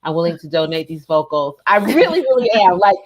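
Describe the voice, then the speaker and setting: Enthusiastic woman co-host, lowish voice. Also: big pitch swings, a little fast. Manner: enthusiastic, rambling, lots of "like". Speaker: podcast co-host. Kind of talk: casual podcast conversation.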